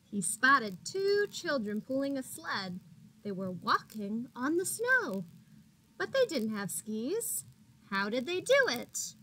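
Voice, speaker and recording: A woman with a fairly high voice reads a picture book to young children at a slow, measured pace. She is expressive in a teacherly way, speaking straight to her little listeners. A faint noise sits behind her. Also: poor audio. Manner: expressive, addresses children as friends, gasps for suspense. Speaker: teacher reading aloud to children. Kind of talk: animated picture-book read-aloud with questions to young listeners.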